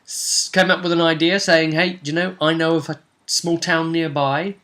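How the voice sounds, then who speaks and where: A man's voice: fairly high pitch, level tone, normal speed, bright, forceful tone. A man speaking casually and jokingly as a host and game master, recapping a tabletop role-play session with his co-host. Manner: jokey, bantering with co-host.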